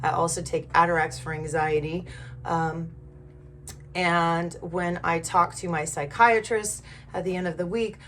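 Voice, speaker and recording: A middle-aged woman talks in a somewhat low voice, hoarse from being sick. She tells her own story in a loose, conversational vlog style. Her delivery is frank and informal, with mild cursing. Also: steady tone, measured pace, fairly bright tone, a little hiss or hum. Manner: candid, informal, mildly profane. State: hoarse from a recent illness. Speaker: vlogger. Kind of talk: casual personal storytelling.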